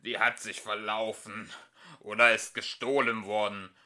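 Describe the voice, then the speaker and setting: A man's voice: on the low side, level tone, sharp tone. A man voicing a poor, worried old villager in a storybook, pleading plaintively. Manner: slow, plaintive.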